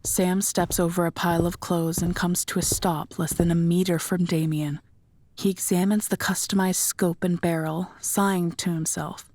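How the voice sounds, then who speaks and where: A woman's voice: lowish voice, little pitch movement, moderate pace, a little mellow, no echo. A woman reading scripted third-person narration for an audio drama.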